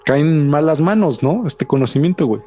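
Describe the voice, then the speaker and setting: A young Mexican man co-hosting a podcast in casual chat, slangy and animated, saying "güey" every few words. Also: fairly high pitch, a little fast, faint music behind. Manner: slangy, says "güey" constantly, animated storytelling. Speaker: podcast co-host.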